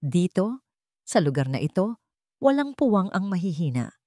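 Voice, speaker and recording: A woman's voice: on the low side, big pitch swings, moderate pace, warm tone, no echo, studio quality. A woman reading a scripted, dramatic story as its narrator.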